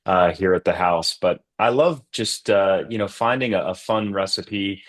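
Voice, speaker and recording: A middle-aged man from Massachusetts, talking casually as a podcast guest, relaxed with lots of fillers and restarts. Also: low-pitched, moderate pace. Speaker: podcast guest, coffee company co-founder. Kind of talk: casual interview chat.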